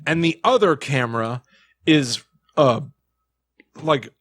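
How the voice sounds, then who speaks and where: A man's voice: mid-range voice, animated, takes it slowly, a bit bright, no echo, clean studio sound. A man hosting a casual podcast, talking conversationally with wry, exaggerated commentary. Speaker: podcast host.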